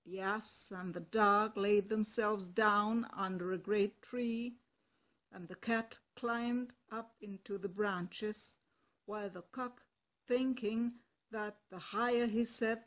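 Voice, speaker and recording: German grandma reading a fairytale, highish voice, nice and slow. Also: female voice, low-quality recording. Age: older. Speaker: storyteller. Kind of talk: reading a fairy tale aloud.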